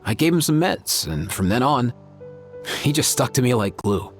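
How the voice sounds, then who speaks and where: Caring young creature doctor for a video game, played by a man, medium pitch, relaxed slangy delivery, light music behind. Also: moderate pace, close to an everyday voice. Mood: warm, reminiscing. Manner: easygoing, slangy, sincere. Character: laid-back, caring young male creature veterinarian. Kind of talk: video game character acting. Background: faint music.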